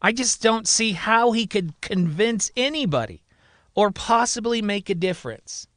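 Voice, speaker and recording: A man's voice: sits high, expressive, a little slow, fairly bright tone, no echo, clean studio sound, voice pushed up. A man voicing a reluctant, exasperated woman doctor in dramatised podcast storytelling. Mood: sceptical.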